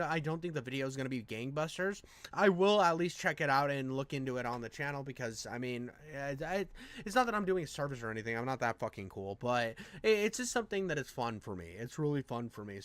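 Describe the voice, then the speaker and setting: A man hosts an off-the-cuff reaction in a slightly high voice, recorded dry and close to echo-free. He rambles enthusiastically in loose slang and swears casually as he goes. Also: a bit bright. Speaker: reaction channel host. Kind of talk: casual, unscripted reaction commentary.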